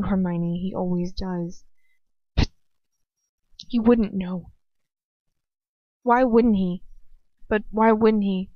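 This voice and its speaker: A woman reading as a frightened, despairing young woman who argues with herself in a halting, anguished way. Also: mid-range voice, dark tone, dry recording, lightly acted. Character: frightened, despairing young woman narrating her own inner turmoil. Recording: dull, muffled lo-fi home recording.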